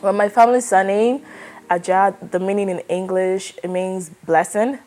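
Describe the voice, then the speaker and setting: A woman in her twenties from Nigeria, answering an oral history interview in a relaxed, chatty way with lots of fillers. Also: medium pitch, moderate pace, faint music behind. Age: 20s. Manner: relaxed, chatty, many fillers like "like" and "you know".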